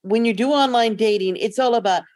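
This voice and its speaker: A woman's voice: fairly high pitch, brisk, a little mellow, no echo, clean studio sound. A middle-aged woman chatting casually and conversationally as a podcast co-host.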